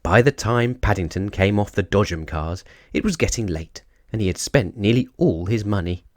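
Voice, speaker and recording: A male narrator reads a children's storybook. His voice sits on the low side and moves expressively up and down. The sound is dry, with little room around it. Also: expressive, normal speed, darkish tone, no echo.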